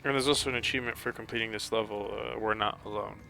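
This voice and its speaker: A man giving casual, halting, explanatory commentary for a video game walkthrough, with game music behind him. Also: on the low side, fairly even intonation, vocal fry, pushed and bright.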